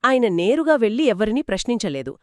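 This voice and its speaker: A woman's voice: fairly high pitch, very animated, fairly quick, a little mellow, dry recording, clean studio sound. A woman telling a moral story conversationally to a co-host, explaining each step expressively and affirming as she goes.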